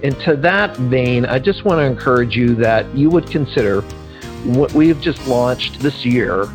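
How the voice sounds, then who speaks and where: A man's voice: medium pitch, expressive, a little slow. Man, podcast host, upbeat, encouraging, faint music behind. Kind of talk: podcast interview and promo read.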